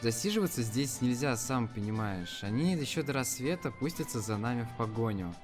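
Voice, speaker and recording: A young man in an amateur audio play, acting a calculating, cool-headed bandit with a measured delivery. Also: mid-range voice, expressive, music in the background, natural voice. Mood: wary, practical. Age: young adult. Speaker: amateur school performer.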